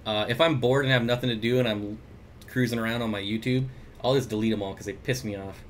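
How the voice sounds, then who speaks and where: A man's voice: fairly low pitch, fairly even intonation, quick pace, a little hiss or hum. Chatty man hosting a fish livestream. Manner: chatty, reads viewer comments aloud, jokey asides. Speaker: aquarium livestream host. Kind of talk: casual livestream chat reading comments. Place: home room with aquariums. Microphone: desk mic at computer.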